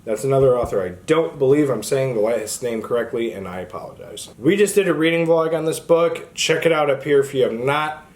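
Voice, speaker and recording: A man with an average-pitched voice talks as the host of a book channel. His delivery is informal and conversational, wandering a little as he goes, like someone chatting straight to viewers. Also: a little fast, few pauses, darkish tone. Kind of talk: casual book chat to camera.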